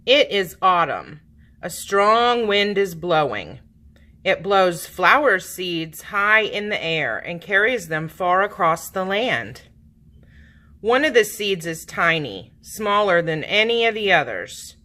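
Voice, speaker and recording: Expressive, bright-voiced woman reading a children's story. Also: lowish voice. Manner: expressive, dramatic emphasis with drawn-out words. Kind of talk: read-aloud picture book narration.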